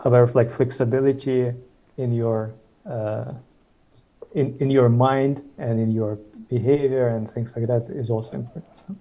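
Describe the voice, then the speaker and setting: A man's voice: medium pitch, fairly even intonation, moderate pace, warm tone, rough recording. A man in his thirties from Ukraine, speaking as a guest on a video call in a hesitant, casual way with many fillers and restarts. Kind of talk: casual webinar conversation.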